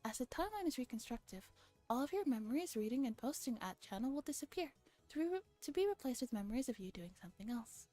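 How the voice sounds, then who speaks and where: A woman's voice: a little high, big pitch swings, quick pace, warm tone, soft background music. A woman voicing a condescending, self-assured internet theorist in a visual novel, with a lecturing manner. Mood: patient condescension.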